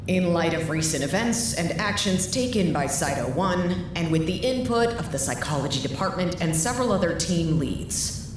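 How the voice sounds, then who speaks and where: A weary, frank woman leader played by an actress in an audio drama, with a very low voice and lively intonation. She is dry and blunt. The sound is heavily echoey, with clear noise behind her. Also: moderate pace, lightly acted. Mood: rousing.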